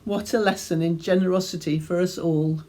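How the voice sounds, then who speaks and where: Lady reading a reflection, lowish mellow voice, gentle storyteller with pauses. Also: fairly even intonation. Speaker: reader of her own devotional reflection. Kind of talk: scripted devotional reflection, storytelling read aloud.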